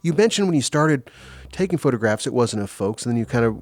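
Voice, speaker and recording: A man's voice: mid-range voice, expressive, fast talker, darkish tone. A man hosting an arts interview on the radio, asking curious questions.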